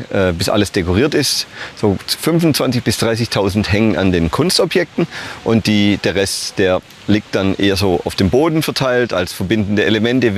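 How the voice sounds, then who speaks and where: A man's voice: fairly low pitch, slight background noise. A man who organizes a festival, explaining things matter-of-factly in an interview, with some restarts.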